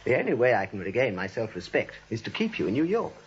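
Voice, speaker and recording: A man's voice: medium pitch, wildly swooping pitch, fast. A breezy, cynical young critic. He swings animatedly through teasing, sardonic patter in 1940s radio comedy style, on a dull old recording. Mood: teasing, wooing.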